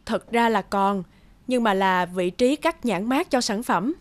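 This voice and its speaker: A woman voicing a blunt, chatty office worker in an animated series. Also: medium pitch, unhurried, close to an everyday voice. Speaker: office coworker and manager. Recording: background music.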